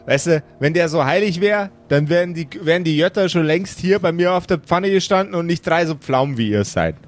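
Man playing a brash, grumbling necromancer boss, higher-pitched. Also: animated, brisk, natural voice. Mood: indignant. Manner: slangy, coarse, rambling, stammering repetitions. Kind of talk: improvised pen-and-paper audio play.

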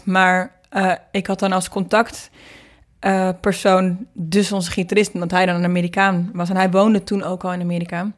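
A woman talking casually as an interview guest, telling anecdotes and acting out bits of dialogue. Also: medium pitch. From Netherlands. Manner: informal, anecdotal, re-enacts dialogue. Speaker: singer and producer, podcast guest.

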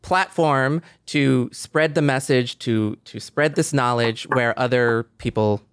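A man's voice: medium pitch, slow, clean tone, no echo, studio quality. A man hosting a podcast in a casual conversational style, speaking in an earnest, encouraging way. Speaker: podcast host.

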